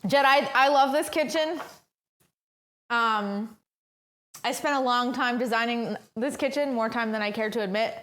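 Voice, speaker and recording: A woman's voice: on the high side, a little slow, pauses often, a bit bright. A woman hosting a casual cooking livestream from her home kitchen, chatting along with lots of ums and uhs. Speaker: cooking stream host.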